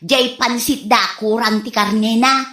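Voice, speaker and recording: A woman acting a high-voiced gossipy Ilocano neighbor. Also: steady tone, unhurried, sharp tone. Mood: indignant griping. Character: fault-finding gossipy neighborhood woman, a mother. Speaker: radio drama actor. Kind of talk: Ilocano comedy radio drama. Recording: roomy echoey sound.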